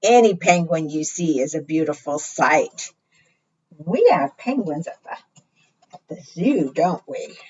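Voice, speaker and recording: A woman's voice: low voice, expressive, measured pace, darkish tone, breathy edge, muffled recording. A woman hosting preschool storytime, reading and teaching in a sing-song way, often putting questions to small children. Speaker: library storytime host.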